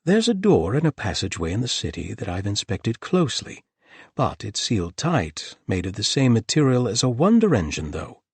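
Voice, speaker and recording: A man voicing a wary, dry-witted traveling doctor who speaks in a measured, guarded way, with a husky voice. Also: fairly low pitch, wildly swooping pitch, no echo, voice pushed up, full character voice.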